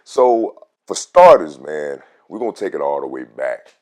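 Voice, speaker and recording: A man with a very deep, rich voice, a radio host telling a casual story about his own voice. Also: a little slow. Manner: animated, swings loud on emphasis.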